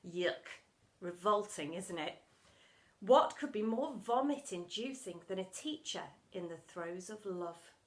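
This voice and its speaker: A woman reading a children's story aloud in an animated, theatrical storytelling style. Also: medium pitch, unhurried, roomy sound. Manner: expressive, theatrical delivery with acted sound words.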